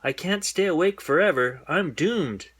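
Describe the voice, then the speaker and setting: A man's voice: fairly high pitch, expressive, measured pace, a bit bright, slightly rough, voice pushed up, full character voice. A man reading a storybook, voicing an anxious, embarrassed schoolboy who exclaims and pleads. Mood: worried.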